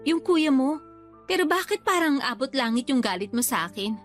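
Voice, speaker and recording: An actress playing a young mother in a radio drama, placating and measured but quietly stubborn. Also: high voice, expressive, faint music behind. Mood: pleading, regretful. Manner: pleading, measured, quietly defiant. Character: young mother, brother's returned girlfriend, placating yet stubborn. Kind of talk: Tagalog radio drama acting.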